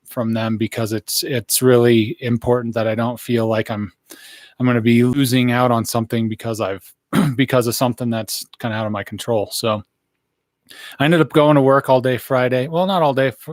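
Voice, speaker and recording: A hoarse man in his thirties giving a casual, rambling live update into a studio microphone in a small room. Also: mid-range voice, no room sound. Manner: rambling, many fillers and restarts. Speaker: vlogger giving a health update. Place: small indoor room, wood-plank backdrop. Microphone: studio mic on boom arm in front.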